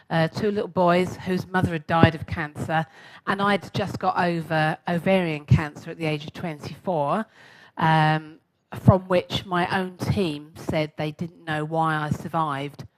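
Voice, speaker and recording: A woman's voice: low-pitched, fairly even intonation, measured pace, poor audio. A woman in her sixties with a London accent gives a chatty, self-mocking talk to a live audience and asks them questions. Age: 60s. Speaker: guest speaker.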